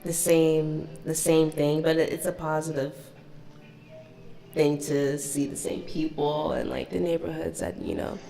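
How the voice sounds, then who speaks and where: Young woman, from DC and Ghana, low voice, gravelly, relaxed casual chat, faint music behind. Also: echoey room, poor audio. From born in DC, raised partly in Ghana. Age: young adult. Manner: relaxed, many pauses and "um"s. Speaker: podcast guest.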